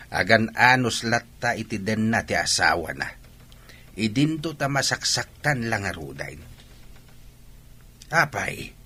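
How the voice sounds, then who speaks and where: Man acting an animated, bright-voiced old village grandfather. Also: on the low side, husky, full character voice. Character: fatherly old village grandfather, warm and protective. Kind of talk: Ilocano radio drama acting.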